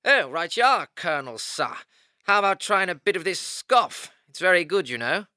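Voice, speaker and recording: A man's voice: high voice, very animated, normal speed, sharp tone, no room sound, studio quality, pitched above the performer's own voice, exaggerated voice. A man voicing a cheeky, jovial young male hare soldier. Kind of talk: audiobook character voice.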